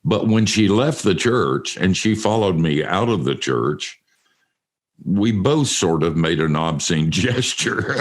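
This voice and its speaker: Deep-voiced older man hosting, gravelly. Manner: joking, self-mocking, laughs often. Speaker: radio show host. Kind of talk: casual interview chat.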